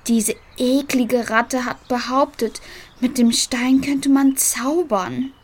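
Radio-play talking cat, female actor, small and imperious, impatient with fools, higher pitched, measured pace. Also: fairly even intonation, a little mellow, breathy edge, exaggerated voice. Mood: demanding. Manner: curt, scornful questioning. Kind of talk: German children's radio play acting.

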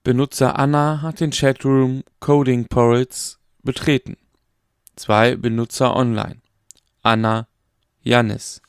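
A man voicing an impersonal chatroom system that makes flat announcements in an audio drama. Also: mid-range voice, slow, clean tone, dry recording, clean studio sound. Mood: neutral.

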